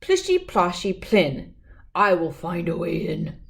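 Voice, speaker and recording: A woman's voice: on the low side, sing-song, slow, creaky, slight background noise, exaggerated voice. A woman voicing a menacing male gar fish, a storybook villain who grumbles and growls singsong threats. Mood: scheming.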